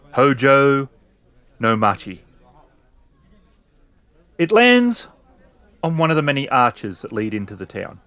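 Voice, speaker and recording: A man's voice: mid-range voice, a bit bright, no room sound. A man narrating a tabletop roleplaying game as storyteller, setting scenes descriptively.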